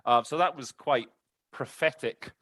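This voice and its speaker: A man's voice: medium pitch, measured pace, pushed and bright. A man hosting a show in a casual, chatty way, rambling and often correcting himself as he explains things. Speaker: host. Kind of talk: casual spoken introduction to an interpreting practice show.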